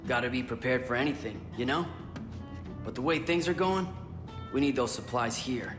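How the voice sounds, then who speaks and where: An actor playing a practical, casual American militia fighter in a game, blunt and conversational. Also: male voice, medium pitch, normal speed, lightly acted. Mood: urgent, casual. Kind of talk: game cutscene dialogue. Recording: echoey hall, loud music behind.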